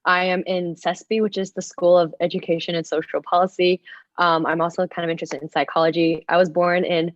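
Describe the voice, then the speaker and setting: A woman's voice: on the low side, flat delivery, fairly quick, dry recording. A young woman who grew up in Shanghai, a first-year student, introducing herself shyly. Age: young adult. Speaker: student panelist. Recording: dull, dry online call audio.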